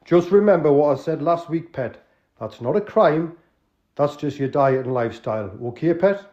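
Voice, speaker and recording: A man doing a high, bossy Geordie crook boss. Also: animated, normal speed, dark tone, close to an everyday voice. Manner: chummy, swearing, calls everyone pet. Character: bossy male crook club leader. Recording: dull lo-fi home recording.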